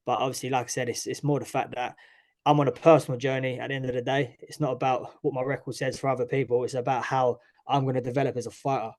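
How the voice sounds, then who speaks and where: A man's voice: mid-range voice, level tone, fast, a little mellow. Chatty young East London man. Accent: East London English. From East London, England. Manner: chatty, frequent restarts and "obviously". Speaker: guest, mixed martial arts fighter. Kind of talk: casual interview talk.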